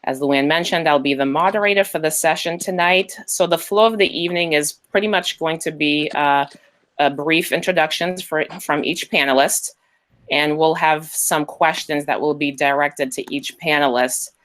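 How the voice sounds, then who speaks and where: A woman's voice: low-pitched, normal speed, a bit bright. A woman moderating a virtual panel on a video call, friendly, with many fillers.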